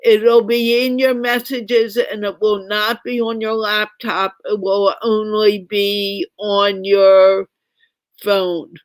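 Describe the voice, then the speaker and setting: A woman's voice: mid-range voice, little pitch movement, takes it slowly, dry recording. A woman hosting an online English conversation show, speaking in a firm, instructive way on a video call. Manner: firm, instructive, reminds guests to mute. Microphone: computer mic on a video call.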